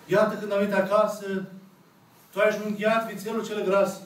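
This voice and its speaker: A man in his thirties, an Orthodox priest with a Romanian accent, preaching slowly and emphatically to a seated congregation with long dramatic pauses, recorded by a camera at the back of a small church. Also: sits high, little pitch movement. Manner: slow, emphatic, dramatic pauses, voices the characters' words. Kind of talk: sermon retelling a parable. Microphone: camera at the back of the room. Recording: echoey church room, picked up from far off.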